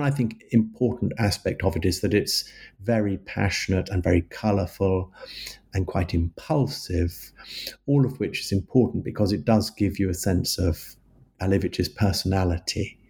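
A man answering interview questions about his book in a measured, halting way, with frequent restarts. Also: sits low, vocal fry, a little mellow, slightly rough. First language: English. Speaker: author guest.

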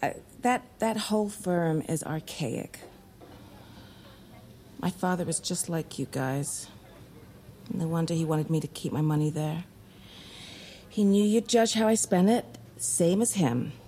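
Actress as a low, mellow, blunt young heiress. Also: female voice, measured pace, pauses often, lightly acted. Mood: dismissive, bitter. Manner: blunt, wry, unguarded. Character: blunt, free-spirited young rebellious heiress. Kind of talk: naturalistic screen drama acting.

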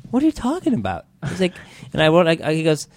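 A man co-hosting a casual chat, telling a story in a halting way with many restarts. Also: a little high, wildly swooping pitch, no echo. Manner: halting, restarts a lot when telling stories.

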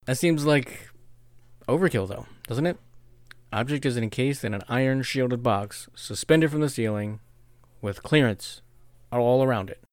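A man's voice: medium pitch, unhurried, darkish tone, dry recording. A man co-hosting a podcast in a casual chat, cracking wry jokes. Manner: joking, wry asides. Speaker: podcast co-host.

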